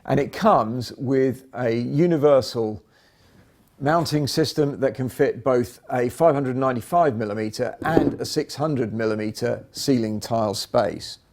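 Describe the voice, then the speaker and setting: Male product presenter, mid-pitched and soft-edged, measured delivery for an instructional demo.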